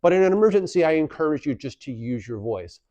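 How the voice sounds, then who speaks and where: A man's voice: fairly high pitch, very animated, fast talker, mellow, no echo. Man, instructional presenter, plain step-by-step delivery, repeats key points, dry close sound, slightly lo-fi. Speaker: instructional video presenter for a community association. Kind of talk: instructional how-to explanation.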